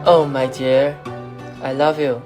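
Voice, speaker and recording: A man's voice: medium pitch, little pitch movement, slow pace, music in the background, lightly acted. A man playing a commanding but tender fairy king in an amateur radio drama. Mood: contrite, tender.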